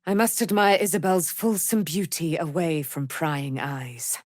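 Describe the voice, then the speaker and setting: Regal female warrior knight for a fantasy game: righteous, vengeful, grand archaic declamation, slow measured delivery. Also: sits low, a little mellow, no room sound, studio quality. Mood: proud, courtly. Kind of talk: theatrical fantasy game voice acting.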